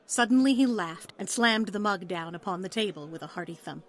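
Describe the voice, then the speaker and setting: A woman's voice: mid-range voice, animated, fairly quick. Woman narrating a story dramatically. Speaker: narrator, author reading.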